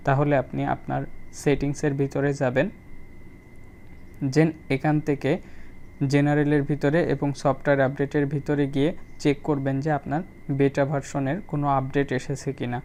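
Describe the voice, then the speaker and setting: Man, very even tone, tutorial voice-over. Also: medium pitch, moderate pace, a little hiss or hum. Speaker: tech tutorial host. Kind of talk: spoken phone tutorial voice-over.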